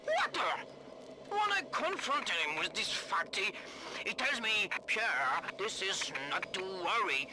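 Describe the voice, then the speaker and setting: A woman's voice: medium pitch, big pitch swings, takes it slowly. A woman playing an indignant storyteller who mockingly mimics someone in a video game audio diary. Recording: noisy old audio diary recording.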